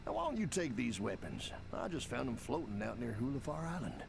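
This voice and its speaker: Greedy folksy trader for a game; gravelly voice, wheedling friendliness, noisy background. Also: male voice, mid-range voice, big pitch swings. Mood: folksy, persuasive. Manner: ingratiating, folksy drawl with drawn-out hesitations. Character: folksy, sly smuggler, greedy trader and guide.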